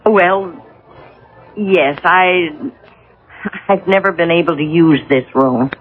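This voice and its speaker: An actress playing a gentle, uneasy older housewife in a 1980s radio drama, speaking hesitantly. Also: female voice, lowish voice, husky, soft background music. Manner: hesitant, halting. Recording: narrow-band vintage radio broadcast.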